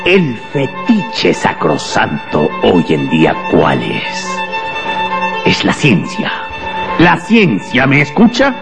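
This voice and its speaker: An actor playing a haughty, contemptuous embassy official in a radio drama, emphatic and hectoring. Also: male voice, on the low side, wildly swooping pitch, slow, gravelly, heavily acted. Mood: lecturing. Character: imperious, contemptuous embassy official, pale and melancholy. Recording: long hall reverb, music underneath.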